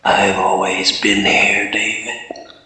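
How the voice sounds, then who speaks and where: Man putting on a slow, sinister doppelganger voice. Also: lowish voice, monotone, pushed and bright, full character voice. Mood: slow menace. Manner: slow, drawn-out menacing delivery. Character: sinister male doppelganger entity, menacing, taunting stalker. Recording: roomy echo, faint music behind.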